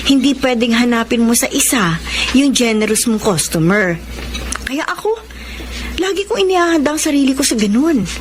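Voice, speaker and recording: A high-pitched Filipino working mother, played by a woman in a radio drama. She is humble yet principled and speaks with emotion. There is faint noise behind her. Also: lively intonation, normal speed, natural voice. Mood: earnest, patient.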